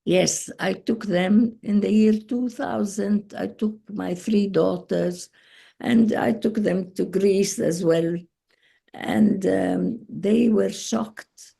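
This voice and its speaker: Brief: woman, 80s, Arabic-accented, unhurried storyteller, gravelly husky voice, video call. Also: mid-range voice, fairly even intonation, warm tone. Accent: Palestinian Arabic. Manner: slow, halting, with frequent pauses and restarts. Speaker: guest speaker. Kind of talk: relaxed personal storytelling.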